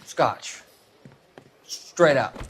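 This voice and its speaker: An actor playing a young medical student turned dealer in a crime video game, formal and deferential yet firm. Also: male voice, very slow, a little hiss or hum. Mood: polite. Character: polite, guarded young medical student dealing dope.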